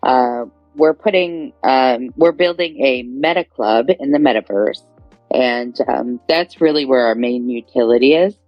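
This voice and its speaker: A woman's voice: very deep voice, measured pace, dry recording, soft background music. A woman introducing her project as a guest on an online panel, hesitant and full of fillers. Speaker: guest, NFT project representative. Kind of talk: casual online panel talk. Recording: dull lo-fi online audio stream.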